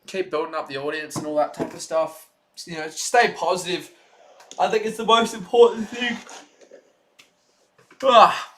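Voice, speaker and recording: A young man streaming his own play-by-play as a fan, chatty and excited, with a voice going hoarse. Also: sits high, moderate pace, long pauses, a little hiss or hum. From Australia. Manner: excited rooting fan, loud on big plays, chatty in lulls. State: losing his voice. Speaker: stream host.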